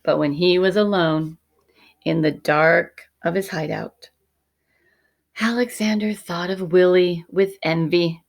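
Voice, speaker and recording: A woman teacher narrating a children's picture book expressively, measured and dramatic, often dropping to hushed tones. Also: fairly low pitch, steady tone, creaky.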